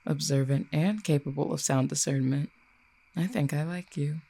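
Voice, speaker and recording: Audio drama role: ancient fallen cupid, acted by one performer, mellow and creaky mid-pitched voice, formal, wry, quietly menacing. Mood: wry, curious. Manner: formal, eloquent, measured archaic phrasing. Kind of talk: roleplay audio drama, addressing the listener.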